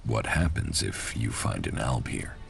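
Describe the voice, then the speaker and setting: Gravelly, very deep-voiced game commander. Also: male voice, lively intonation, a little slow, full character voice. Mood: guarded, commanding. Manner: terse, dry, sardonic. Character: gruff, cold male alien-faction commander, curt and weary. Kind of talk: video game acting.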